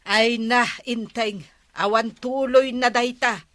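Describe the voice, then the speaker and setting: Actress as bright, sharp-voiced village councilwoman. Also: on the high side, steady tone, slow pace, dry recording, clean studio sound. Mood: bossy. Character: skeptical, bossy older unmarried village councilwoman. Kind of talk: comedy radio drama acting.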